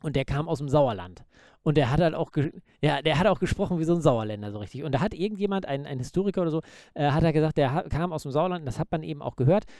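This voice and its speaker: A man's voice: on the high side, animated, fast, darkish tone, no echo, studio quality. A man co-hosting a podcast, chatting casually in loose, joking banter. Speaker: podcast co-host. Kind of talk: casual chat.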